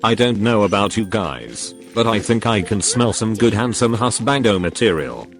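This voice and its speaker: A man's voice: lowish voice, expressive, normal speed. A man giving casual, playful gameplay commentary in a gushing, theatrical manner. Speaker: game streamer. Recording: game music behind the voice.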